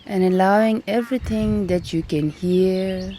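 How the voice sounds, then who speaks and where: A woman guiding a meditation in a calm, gentle manner, leaving long pauses between short phrases. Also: medium pitch, a little hiss or hum. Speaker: meditation and yoga teacher. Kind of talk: guided meditation instruction. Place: outdoors in nature. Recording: outdoor recording with birdsong behind. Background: birdsong and natural ambience.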